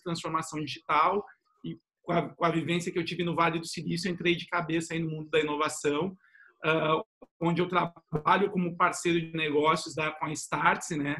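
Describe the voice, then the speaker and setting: A man's voice: on the high side, fairly bright tone. A middle-aged Brazilian man introducing himself conversationally as a panel guest, in an informal manner with frequent tag questions. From São Paulo state, Brazil. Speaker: panel guest, business innovation professional.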